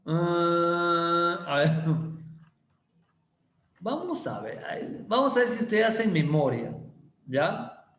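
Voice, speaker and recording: Playful high-voiced teacher, slow and lively. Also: male voice. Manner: playful, teasing, repeats questions slowly. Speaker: chemistry teacher. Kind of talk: casual online class with quiz questions. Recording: roomy echo.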